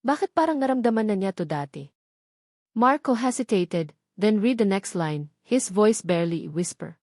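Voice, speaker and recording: A woman with a Filipino accent narrates a romantic audio drama. Her pitch sits in the middle range for a woman. The recording is close and dry, with very little room sound. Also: lively intonation, normal speed, a little mellow, no echo.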